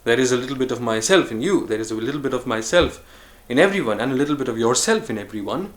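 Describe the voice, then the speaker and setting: Guy talking to camera, thoughtful and slow, lots of pauses and restarts, medium voice. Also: mid-range voice. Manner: musing, unhurried, with frequent pauses and restarts; earnest, speaks of choosing calm over harshness. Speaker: vlogger. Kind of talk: reflective solo monologue.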